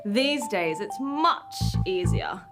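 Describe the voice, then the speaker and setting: A woman playing a smug, polished announcer reading a parody radio commercial as a smooth sales pitch. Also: wildly swooping pitch, takes it slowly, exaggerated voice. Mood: smugly selling. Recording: loud music bed under voice.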